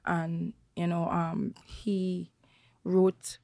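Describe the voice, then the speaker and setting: A young Trinidadian woman from the countryside who is a singer, talking relaxed and chatty as an interview guest, with many fillers and restarts. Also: on the low side, drawn-out words, creaky, no room sound. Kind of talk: casual interview chat.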